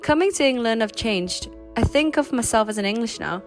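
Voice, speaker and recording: A woman from Thailand whose first language is Thai, narrating a voice-over in a calm, reflective, measured way. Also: on the high side, faint music behind.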